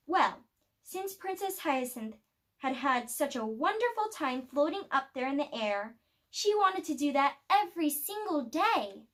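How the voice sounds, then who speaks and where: High-voiced woman reading a children's storybook. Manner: expressive storytelling with deliberate pauses. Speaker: storybook reader. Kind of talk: children's picture-book read-aloud.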